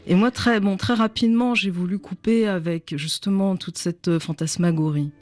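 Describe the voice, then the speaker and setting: A woman's voice: medium pitch, steady tone, moderate pace, a little mellow, faint music behind. A woman novelist whose first language was Chinese, speaking in a radio studio interview, reflective and searching for words. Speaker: novelist guest.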